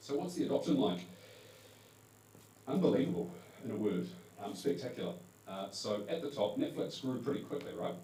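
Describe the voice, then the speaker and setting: Deep-voiced New Zealand man in an echoey hall. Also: lively intonation, normal speed, dark tone. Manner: conversational, self-correcting with fillers. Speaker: conference panel speaker. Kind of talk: presentation talk to a live audience. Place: event hall. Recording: echoey hall, distant mic, faint hum.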